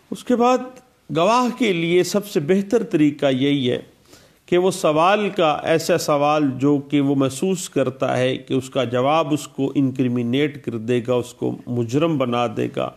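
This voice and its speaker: A man giving a law lecture, explaining legal rules step by step and mixing in English legal terms. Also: on the high side. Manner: explanatory, repeats statute citations, Urdu legal terms with English words.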